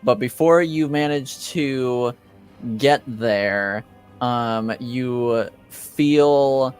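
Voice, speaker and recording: Slow-paced man running a tabletop game. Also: mid-range voice, clean tone, soft background music. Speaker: game master. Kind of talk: improvised tabletop game narration.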